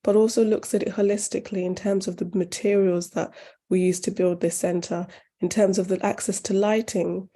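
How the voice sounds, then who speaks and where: A woman from northern Uganda, raised in the UK, who founded a charity, speaking at a forum in a measured, earnest way with some pauses. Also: mid-range voice, steady tone, dark tone. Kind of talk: forum talk and answering questions.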